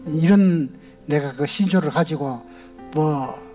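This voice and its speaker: A man's voice: a little high, takes it slowly, mellow, soft background music. An older man with a Gyeongsang accent, a retired church elder giving a folksy, homespun personal testimony to a congregation in a large church sanctuary. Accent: Gyeongsang Korean.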